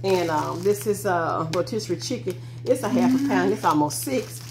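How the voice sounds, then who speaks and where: A woman's voice: medium pitch, expressive, moderate pace, a little mellow. A middle-aged woman chatting casually to her viewers as she drives and then shows her groceries, rambling from one thing to the next. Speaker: vlogger. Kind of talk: casual vlog chat. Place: in a car, then at a kitchen table. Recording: noisy car recording.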